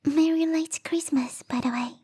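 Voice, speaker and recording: A woman voicing a bubbly, lovestruck young female snow spirit in a soft, breathy ASMR roleplay whisper, coy and rambling. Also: high voice, fairly even intonation, normal speed, natural voice. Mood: polite, chatty.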